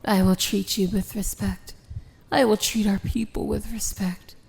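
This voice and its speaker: A powerful dark fantasy princess, voiced by a woman, speaks very slowly in a mellow, creaky voice. She is tender and solemn, with formal, poetic phrasing that often slips into a whisper, in the style of romantic ASMR roleplay. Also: medium pitch, steady tone. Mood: tender, earnest.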